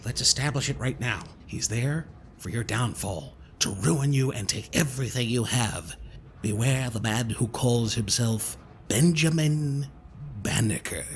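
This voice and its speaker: A man playing a smooth-talking, mock-polite con man villain in an audio drama, wordy and falsely kindly. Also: medium pitch, a little slow, a little hiss or hum, natural voice. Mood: grandly persuasive. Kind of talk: scripted audio drama acting.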